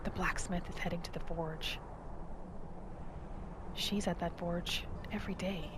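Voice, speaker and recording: A woman calmly narrating a fantasy game character's day in a soft, hushed ASMR storytelling style, slipping into whispers at times. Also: lowish voice, noisy background. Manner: calm, slow, hushed storytelling with some whispering. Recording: music playing under the voice.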